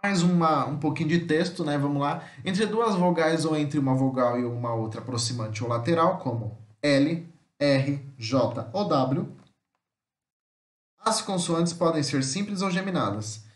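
A man with a Brazilian Portuguese accent giving an informal lesson, reading aloud and explaining haltingly, often checking that listeners follow. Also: on the high side. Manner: halting, repetitive, frequent tag questions to check understanding. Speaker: language teacher. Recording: roomy, echoing voice-over.

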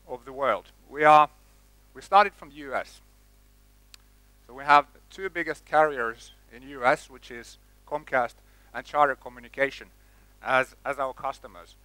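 A man's voice: medium pitch, sharp tone, dry recording, low-quality recording. A middle-aged man with a Finnish accent giving a conference talk on stage, speaking plainly and often restarting his words. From Finland. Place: conference hall stage with projector screen. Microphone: headset mic.

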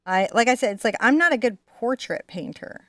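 A woman's voice: a little high, expressive, fairly quick, a crowd in the background. A middle-aged woman chatting informally and digressively as she teaches painting in an indoor studio. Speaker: host teaching a live painting class. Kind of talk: casual chat and painting demonstration.

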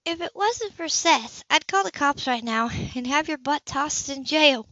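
A woman voicing a fiery, indignant professional woman in a romance audiobook, sharp and heated. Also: sits high, expressive, a little slow, no room sound, pitched above the performer's own voice. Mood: furious. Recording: dull, lo-fi home recording.

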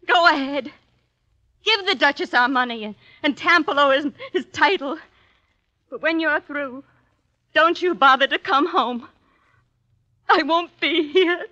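An actress playing a hard, fed-up wife in a 1950s radio drama. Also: female voice, sits high, unhurried, long pauses, bright, forceful tone. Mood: bitter. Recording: dull, lo-fi 1950s radio recording.

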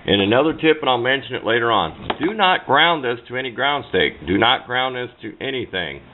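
A man's voice: medium pitch, animated, fairly quick. A folksy, plain-spoken man giving a casual hands-on tutorial at a workbench, picked up by a camera microphone. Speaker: DIY radio hobbyist demonstrating. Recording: muffled phone-like audio, background noise.

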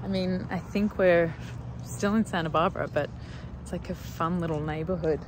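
A woman's voice: on the low side, fairly even intonation, normal speed, noisy background. A young woman talking to her phone camera outdoors on a town street, chatty and upbeat. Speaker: vlogger. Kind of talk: casual talk to camera. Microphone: phone at arm's length.

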